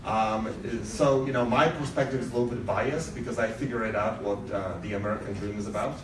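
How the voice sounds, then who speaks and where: A man with a Polish accent giving a halting welcome speech at a live event, full of ums and restarts. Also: lowish voice, background noise. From Poland. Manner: hesitant, many ums and restarts. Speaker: event host from sponsor company. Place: large event venue indoors. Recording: echoey room, mic at a distance, audience murmur.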